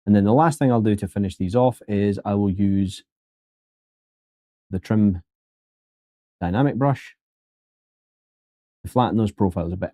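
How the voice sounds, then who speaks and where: Low, mellow man chatting through a tutorial. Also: no echo, clean studio sound. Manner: chatty and joking, talks to viewers as folks. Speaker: 3D sculpting instructor streaming live. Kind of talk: casual live-stream tutorial commentary.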